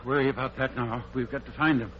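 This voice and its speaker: A man's voice: medium pitch, steady tone, lightly acted. Commanding doctor played by a man, muffled old radio. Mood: urgent. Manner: brisk, authoritative. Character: urgent, commanding doctor leading a night search. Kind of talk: old-time radio drama acting. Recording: muffled, lightly noisy old radio recording.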